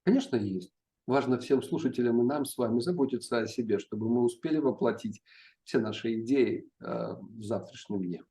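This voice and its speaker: A middle-aged man with a mellow, warm tone and an average pitch. He answers interview questions thoughtfully and carefully, often pausing and hesitating mid-sentence. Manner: measured, with frequent pauses and hesitations. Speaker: psychiatry professor, interview guest.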